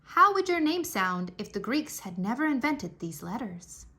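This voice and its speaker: A woman narrating a children's history book aloud in an expressive storybook style, enunciating clearly and stressing dramatic words. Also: on the high side, normal speed.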